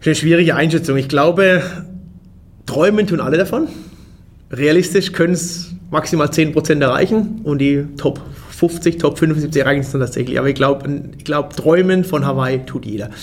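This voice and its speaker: A German man in his forties, a race organizer, answering interview questions in a casual way and often restarting his sentences. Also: a little high. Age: 40s. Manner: fast, restarts and repeats words.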